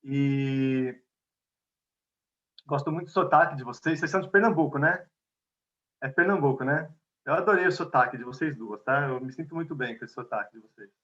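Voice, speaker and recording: A man from Bahia with a São Paulo accent, giving an online talk as a conflict mediator, unhurried and friendly, often adding "né" and "tá". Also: mid-range voice. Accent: São Paulo Portuguese. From Bahia, Brazil. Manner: warm, unhurried, many "né" and "tá" tags. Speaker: invited speaker, conflict mediator. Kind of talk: online lecture. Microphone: video call. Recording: dull lo-fi video call audio.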